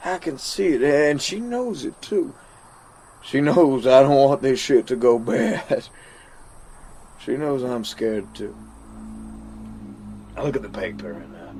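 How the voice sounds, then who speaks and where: Man performing haunted soldier, American accent, slow halting delivery, stammered repeats, audio drama monologue, music underneath. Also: mid-range voice, a little mellow. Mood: agitated, emphatic. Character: haunted male American soldier recounting a deadly standoff. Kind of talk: dramatic first-person monologue.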